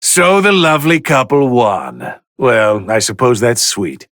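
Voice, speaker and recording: An actor playing a haughty, bored, easily irritated god of destruction who gives pompous, theatrical commentary in a fighting video game, with a gravelly voice. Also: male voice, medium pitch, wildly swooping pitch, unhurried, fairly bright tone, no echo, studio quality. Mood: wry. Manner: pompous, theatrical, drawling disdain.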